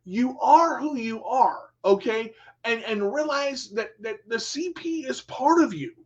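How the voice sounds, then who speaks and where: A man with a high, bright-sitting voice hosts a radio talk show, speaking in an emphatic motivational way. He repeats his key lines and keeps checking in with listeners. The recording is dull and lo-fi. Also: animated, measured pace. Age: adult with a son. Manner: emphatic, repetitive, talks straight to listeners. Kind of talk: motivational monologue.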